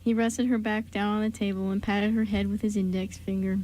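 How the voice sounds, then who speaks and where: A woman narrates a scripted story for a radio play. Her voice sits at an average pitch, with a little noise in the background. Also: level tone, brisk, dark tone, low-quality recording.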